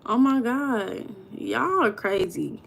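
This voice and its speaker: A woman's voice: a little high, lively intonation, takes it slowly, vocal fry, fairly bright tone, a little hiss or hum. A woman from Los Angeles with an American accent, hosting a casual livestream and reading viewer comments aloud with teasing, slangy banter. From Los Angeles, USA. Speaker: livestream host.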